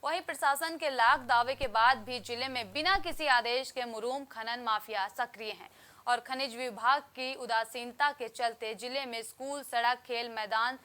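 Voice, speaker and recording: Woman newsreader, high bright voice, crisp formal delivery. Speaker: news anchor. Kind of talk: scripted news reading.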